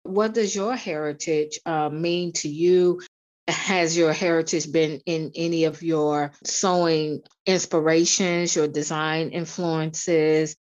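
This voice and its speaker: A woman hosting an interview, asking questions in a measured, encouraging way. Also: low-pitched, steady tone, darkish tone. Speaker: interviewer, host. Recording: dull lo-fi audio.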